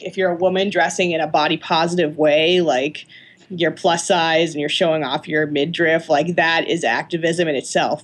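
A non-binary podcast guest, heard as a woman, who speaks thoughtfully and casually, with frequent ums and likes. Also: sits low. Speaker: panel guest. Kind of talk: casual podcast panel talk.

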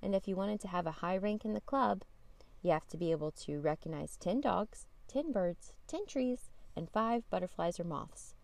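A woman reading a children's picture book aloud as a storytime host, with expressive emphasis on key words. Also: medium pitch, normal speed, gravelly, no room sound. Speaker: storytime podcast host and reader.

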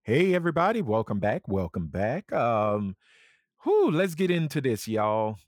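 A man hosting a casual chat podcast, playful and teasing as he steers the talk to the breaks. His pitch moves all over the place. The sound is close and dry, with no room around it. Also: unhurried, dry recording. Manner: animated, teasing, runs the breaks. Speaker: podcast host.

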